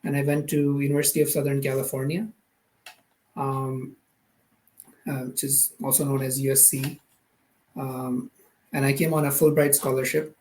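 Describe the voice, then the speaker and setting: A man's voice: medium pitch, level tone, normal speed, long pauses, a little mellow, rough recording. A man in his thirties from Pakistan, with a Pakistani accent, answering as an interview guest in a casual, hesitant way and often circling back to rephrase. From Karachi, Pakistan. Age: 30s. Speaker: podcast guest, product manager.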